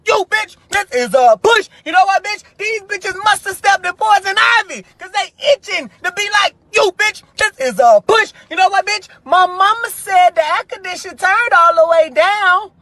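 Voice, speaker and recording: A brash, sassy American voice delivering a profane, emphatic comedic hype rant, shouting much of it. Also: extremely high pitch, expressive, normal speed, hardly any pauses, no echo.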